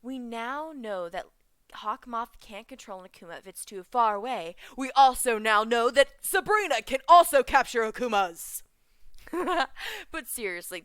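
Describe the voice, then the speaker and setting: A young woman chatting in an excited fan reaction vlog, giggling, making puns and drifting off track. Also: fairly high pitch, smooth, no echo, studio quality. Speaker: vlogger reviewing a cartoon episode.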